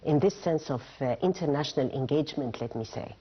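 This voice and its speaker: Very deep-voiced formal woman host. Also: fairly quick, husky, muffled recording. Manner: formal, searching for words with fillers. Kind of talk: TV studio interview.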